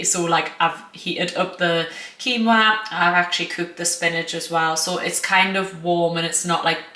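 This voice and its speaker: A young woman with a northern English accent, a bit croaky, chatting casually to camera as a food vlogger in her home kitchen, with lots of fillers and quick self-corrections. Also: low voice, bright, forceful tone, roomy sound. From northern England.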